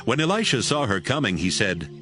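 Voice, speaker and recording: A man's voice: lowish voice, sing-song, fairly quick, sharp tone, faint music behind. A man narrating a dramatized scripture audiobook in a scripted storytelling style.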